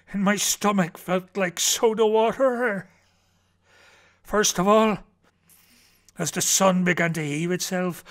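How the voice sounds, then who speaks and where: A man's voice: on the high side, creaky. A man reading a storybook as an eccentric explorer uncle recounting Arctic perils in a theatrical manner that savors each word. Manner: theatrical, savoring words, swinging from hushed to urgent.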